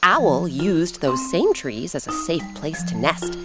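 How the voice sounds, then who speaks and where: A woman narrating a children's folktale as an expressive storyteller and host who addresses the listener. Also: sits low, slow, over music. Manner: animated, audience-addressing storyteller.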